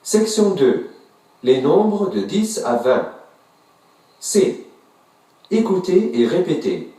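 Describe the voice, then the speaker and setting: Man, scripted lesson narrator; slow, lively intonation, echoey distant recording. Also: on the high side. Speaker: textbook audio narrator. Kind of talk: scripted language-lesson instructions. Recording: echoey, distant textbook audio.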